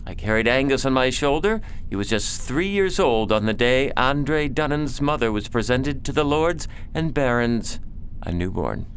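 Actor as a courtly knight, very lively intonation. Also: male voice, mid-range voice, normal speed, slight background noise, lightly acted. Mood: explanatory, dry. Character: loyal knight, courtly male cousin to a lord. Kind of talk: audio drama acting.

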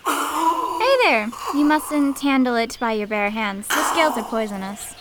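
An actress playing a bold, shrewd young warrior woman in a radio play, confident and brisk in manner. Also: sits high, animated, very noisy. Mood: commanding. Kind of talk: theatrical radio drama acting.

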